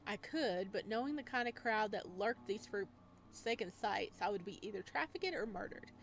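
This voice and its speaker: A woman with a mid-range voice hosts a visual novel playthrough. She reads story text aloud and shifts into chatty, informal asides of her own. Music plays softly behind her. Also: medium pitch, expressive, normal speed. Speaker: gaming video host. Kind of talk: visual novel let's play, reading text aloud with casual commentary.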